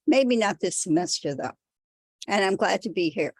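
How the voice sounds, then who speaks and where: A woman's voice: medium pitch, expressive, normal speed, a little mellow, no echo. Thoughtful woman teacher, dry sound. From Connecticut, United States. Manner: plain, thoughtful, slightly meandering. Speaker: art teacher, seminar participant.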